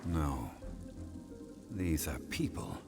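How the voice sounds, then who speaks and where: A dignified, learned consultant from a video game. His voice is low, with expressive rises and falls, yet formal and unhurried. Faint music plays underneath. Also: vocal fry, full character voice. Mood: calm, explanatory. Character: calm, dignified, erudite male expedition consultant. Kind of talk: dubbed game voice acting.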